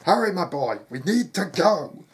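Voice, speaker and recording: A storyteller voicing an urgent, protective lordly father in a fantasy tale, with a forceful, commanding manner. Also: medium pitch, unhurried.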